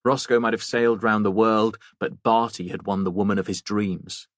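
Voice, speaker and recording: A man's voice: lowish voice, fairly even intonation, normal speed, dry recording, clean studio sound. A man narrating a mystery novel as a scripted audiobook reading.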